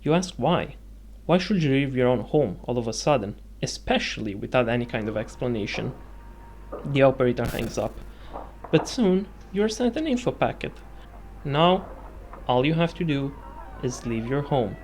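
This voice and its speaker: A man's voice: medium pitch, sing-song, moderate pace, darkish tone, slight background noise. A man narrating a scripted video essay with dramatic, suspenseful storytelling phrasing. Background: music.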